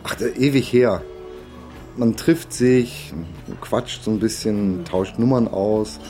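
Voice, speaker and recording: Ein Schauspieler spielt in einem Krimi-Hörspiel einen unbeholfenen, zögerlich ausweichenden Mann. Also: male voice, lowish voice, takes it slowly, mellow, soft background music. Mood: hesitant, evasive. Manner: hesitant, awkward, evasive. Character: awkward, evasive man snooping on a date. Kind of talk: radio crime drama dialogue.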